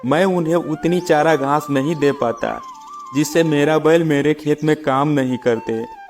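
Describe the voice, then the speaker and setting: Music runs under a fairly high male voice, acted out by a man. It is a greedy cartoon farmer, eaten up by jealousy. He grumbles and schemes his way through a moral tale. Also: unhurried, a little mellow. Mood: complaining. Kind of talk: acted children's moral story.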